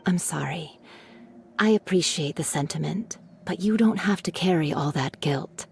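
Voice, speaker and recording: A woman voicing a gentle, weary widowed mother in a video game, soft and measured, consoling and reflective. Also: sits low, gravelly, slight background noise.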